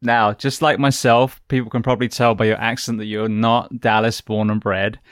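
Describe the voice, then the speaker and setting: A man's voice: lowish voice, level tone, normal speed, clean tone, no room sound, studio quality. A man hosting a podcast, asking his guest questions in a courteous way. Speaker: podcast host. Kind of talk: interview questions.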